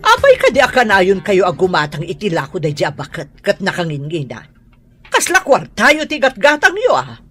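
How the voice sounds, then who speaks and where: An actress with an Ilocano accent playing a haughty, sharp-tongued wealthy wife in a radio drama. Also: female voice, mid-range voice, wildly swooping pitch, normal speed, slightly rough, faint music behind, exaggerated voice. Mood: scornful.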